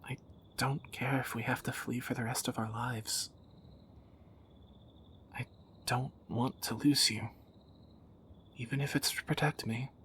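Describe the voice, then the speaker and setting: A man's voice: mid-range voice, fairly even intonation, unhurried, pauses often, a bit bright, a little hiss or hum, lightly acted. A man playing a tender, wry young thief in a romantic audio roleplay, teasing and intimate, often dropping toward a whisper. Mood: tender, pleading. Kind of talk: romantic audio roleplay, one side of a dialogue.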